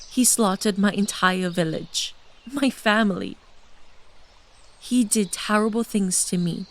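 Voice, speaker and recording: An actress playing a seductive, scheming blood queen who has lived hundreds of lives, speaking in a measured, intimate way in a fantasy audio drama. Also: mid-range voice. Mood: somber. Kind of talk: full cast audio drama.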